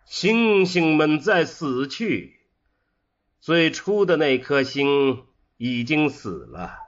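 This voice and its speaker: A man's voice: on the high side, very animated, slow pace, dull audio. A man playing an immortal, disembodied human mind, curious and melancholy, in a science fiction audio drama. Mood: sad, troubled.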